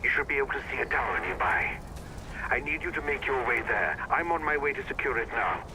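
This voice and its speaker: An actor playing an urgent, reassuring rebel who gives directions over a two-way radio in a video game. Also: male voice, mid-range voice, animated, fairly quick, background noise. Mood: reassuring, firm. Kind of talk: video game cutscene acting.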